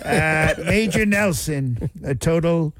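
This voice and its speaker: A man's voice: on the high side, expressive, slow pace. A man on radio doing an impression of a boastful president, bragging and throwing insults during a trivia segment. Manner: bragging, superlatives, insulting asides. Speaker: radio show regular.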